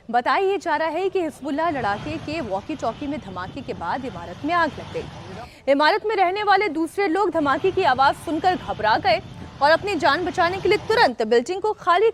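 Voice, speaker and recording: A woman's voice: high voice, expressive, moderate pace, a little hiss or hum. A woman news anchor narrating dramatically, with an emphatic, urgent delivery.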